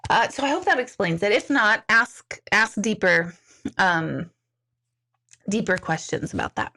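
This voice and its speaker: A woman's voice: medium pitch, lively intonation, a little slow, pushed and bright. A middle-aged woman, an intuitive medium, chatting casually and rambling as she reads out and answers viewers' questions, laughing often. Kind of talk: casual live-stream chat.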